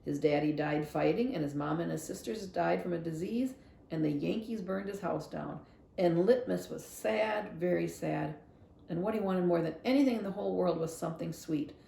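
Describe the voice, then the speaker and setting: A woman voicing an earnest, curious and stubborn young girl in a children's novel read-aloud, expressive and full of questions. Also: on the low side, moderate pace, creaky, echoey room, slight background noise.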